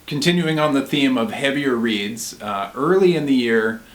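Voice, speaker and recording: A man's voice: medium pitch, expressive, normal speed. A man co-hosting a book review, giving recommendations in a casual, conversational way. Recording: roomy, echoing sound.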